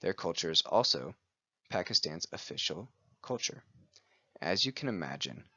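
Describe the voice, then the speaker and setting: A man with a very deep voice and a sharp, forceful edge reads a prepared presentation in an even, steady way. The recording is close and dry, with a muffled, lo-fi quality. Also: normal speed, slightly rough, dry recording. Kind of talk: scripted read-aloud presentation.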